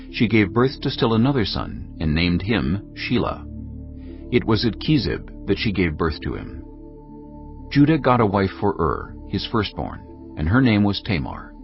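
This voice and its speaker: Deep, gravelly male Bible narrator over music. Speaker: narrator of a dramatized audio Bible. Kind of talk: scripted scripture narration. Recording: dull lo-fi audio under music. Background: prominent background music.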